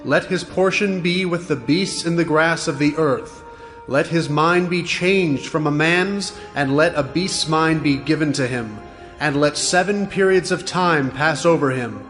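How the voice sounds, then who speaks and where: Stern angelic watcher, highish voice. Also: male voice, unhurried, soft background music. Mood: stern proclamation. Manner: forceful, solemn, proclaiming. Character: stern heavenly watcher angel proclaiming divine judgment. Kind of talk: dramatized audio scripture acting.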